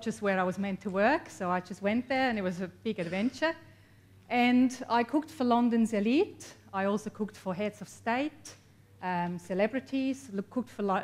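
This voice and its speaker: A woman in her forties with a Swiss accent, giving a lively personal-story talk to a workshop audience, laughing often and speaking to the crowd. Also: mid-range voice. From Switzerland. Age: 40s. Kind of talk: motivational talk to a live audience. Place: workshop event room.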